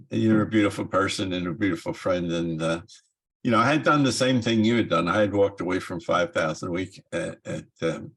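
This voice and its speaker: A man's voice: deep voice, slightly rough. A man in his nineties, a guest speaker on a call, talking in a folksy way and chuckling often. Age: 90s. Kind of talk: casual call talk.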